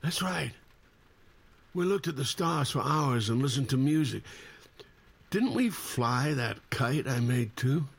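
An actor playing a forgetful brother reaching for memories, halting and unsure, in naturalistic audio drama. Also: male voice, mid-range voice, animated, gravelly, breathy edge, heavily acted. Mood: nostalgic.

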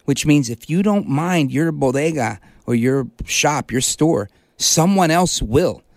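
A middle-aged man from New hosting a political talk radio show, delivering an emphatic, punchy monologue that hammers key words slowly. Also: a little high, expressive, no echo, studio quality. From Brooklyn, New York, United States. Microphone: studio microphone.

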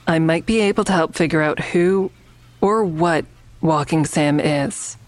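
A low-pitched woman in a naturalistic audio drama. She is earnest but guarded, choosing her words with measured care and keeping some back. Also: no echo. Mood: guarded, insistent. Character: guarded, earnest woman negotiating with police, also narrating.

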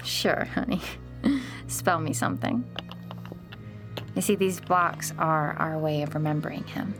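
A woman playing a kind but uneasy young boy's mother, speaking gently and hesitantly in an audio drama. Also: low-pitched, unhurried, over music. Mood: tender. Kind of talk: naturalistic audio drama acting.

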